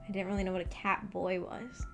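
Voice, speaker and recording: A woman's voice: mid-range voice, flat delivery, fairly quick, vocal fry. A young adult woman chatting casually on a livestream, rambling and thinking aloud as she answers her viewers. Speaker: streamer, host of a visual novel book club. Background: background music.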